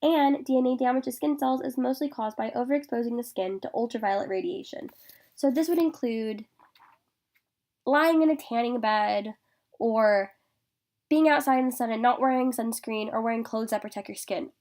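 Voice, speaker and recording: A young woman talks through her class slides with a stuffy, congested voice. Her fairly high voice has a gravelly fry underneath as she reads steadily through lists of facts. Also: moderate pace, a little mellow. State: congested from a sinus infection. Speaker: student presenter. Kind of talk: informational class presentation over slides.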